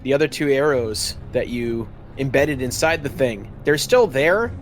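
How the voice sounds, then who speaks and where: A man's voice: medium pitch, animated, a little slow, a little hiss or hum. A man acting as game master, casually narrating a tabletop roleplaying game and calling for rolls.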